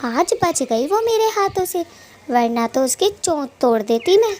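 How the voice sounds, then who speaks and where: Quarrelsome female cartoon bird played by a woman, very high voice, greedy and scolding, slight background noise. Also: sing-song, measured pace, a little mellow. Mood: angry, threatening. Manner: scolding, taunting, colloquial bickering. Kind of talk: Hindi cartoon story dialogue.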